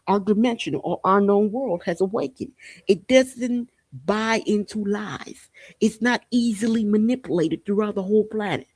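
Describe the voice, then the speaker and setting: A woman's voice: mid-range voice, a little mellow, no echo. A woman from Haiti giving an informal podcast monologue in an emphatic, repetitive manner, punctuated with rhetorical questions. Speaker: podcast host. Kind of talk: spiritual and political monologue, informal talk.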